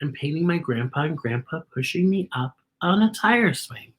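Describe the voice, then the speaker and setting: Lady reading as a timid upset little kid girl, pleading, pitch jumps around, storybook style. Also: expressive, normal speed, slight background noise. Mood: quiet, sad.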